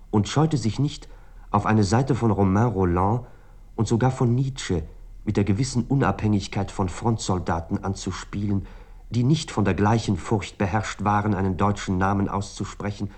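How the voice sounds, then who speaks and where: A thoughtful cultured narrator in a radio play, lowish voice, long measured sentences. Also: male voice, a little mellow, husky. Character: Marcel, reflective literary first-person narrator, cultured Frenchman. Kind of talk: literary radio drama narration.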